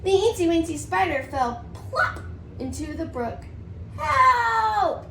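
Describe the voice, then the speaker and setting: A woman preschool teacher reading a storybook aloud to young children in an expressive, rhythmic sing-song. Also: very high voice, a little slow. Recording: distant mic, roomy echo, faint noise.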